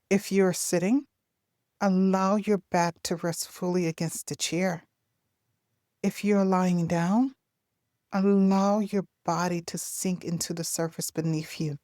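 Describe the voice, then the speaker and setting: A woman narrating a soothing guided meditation in a gentle, deliberate way, leaving long pauses between phrases. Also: fairly low pitch, fairly even intonation, no room sound, studio quality.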